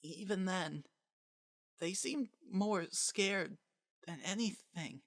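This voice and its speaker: Guy doing a scared little monster kid, really high voice, gentle and pleading, dry story recording. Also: takes it slowly, no echo, heavily acted. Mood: subdued, sad. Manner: pleading, fragile. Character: frightened young monster boy, gentle and sorrowful. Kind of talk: dramatic story reading.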